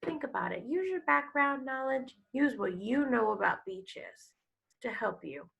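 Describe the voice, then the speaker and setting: A woman teacher reading a picture book aloud to young pupils, expressive and instructive, pausing to explain and ask questions. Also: sits high, vocal fry, slightly rough. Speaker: elementary school teacher.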